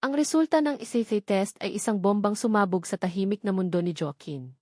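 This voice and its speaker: Steady woman narrating a drama story. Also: mid-range voice, fairly quick, dry recording, clean studio sound.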